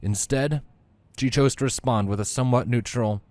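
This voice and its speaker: A man's voice: medium pitch, normal speed, a little mellow, no room sound. A man reading scripted fiction aloud as a narrator.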